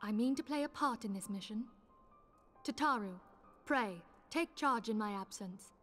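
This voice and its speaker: A woman's voice: fairly high pitch, moderate pace, a bit bright, faint music behind, lightly acted. An actress playing an earnest, resolute noblewoman who leads a fantasy order in a video game, with stately old-fashioned speech. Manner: formal, stately archaic diction. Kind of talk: dramatic video game voice acting.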